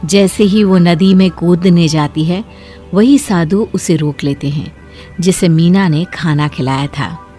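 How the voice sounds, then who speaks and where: A woman narrates a cartoon moral story in a steady, clear manner. Her voice sits a little low for a woman. Light music plays under her. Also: a little slow.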